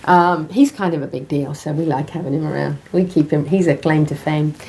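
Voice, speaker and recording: A woman's voice: sits low, a little mellow, a little hiss or hum. A British woman hosting a live studio talk show, reading sponsors and introducing guests in an upbeat way. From Britain. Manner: upbeat, quick sponsor reads. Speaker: host.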